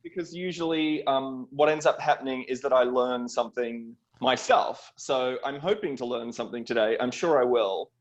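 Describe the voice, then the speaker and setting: A man's voice: medium pitch, normal speed, few pauses, muffled recording. A middle-aged man with an Australian accent, speaking as a guest film producer in a conversational storytelling style on a video call.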